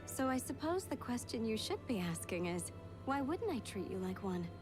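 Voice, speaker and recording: A woman's voice: on the high side, very animated, normal speed, music in the background. A woman playing a dutiful young ceremonial maiden in a video game, composed, sincere and earnest. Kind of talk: video game cutscene voice acting.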